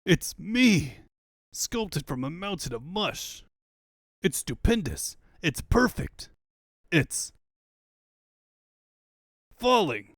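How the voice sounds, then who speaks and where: A man's voice: a little high, fairly bright tone, no room sound, clean studio sound, pitched above the performer's own voice, heavily acted. A man voicing a vain, pompous cartoon mad-scientist tyrant, grandiose and given to bellowed orders. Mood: awestruck.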